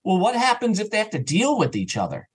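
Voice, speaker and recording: Guy podcast guest, kinda high voice, excited casual chat, acts out little quotes as he goes. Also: fast, breathy edge. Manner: animated, acts out imagined remarks. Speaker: podcast guest, audio drama writer and creator. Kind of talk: casual enthusiastic interview chat.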